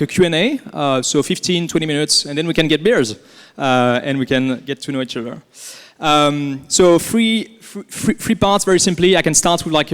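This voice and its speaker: A man from France with a French accent, giving a casual workshop presentation as a guest speaker, relaxed and full of fillers and repeated words. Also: a little high. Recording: echoey hall.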